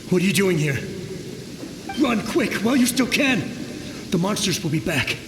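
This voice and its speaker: Terrified game ghost soldier; high male voice, halting, pleading, roomy echo. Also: big pitch swings. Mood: panicked, warning. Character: desperate, frightened young male soldier's ghost. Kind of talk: anime game voice acting. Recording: echoing, noisy, with rain and thunder behind.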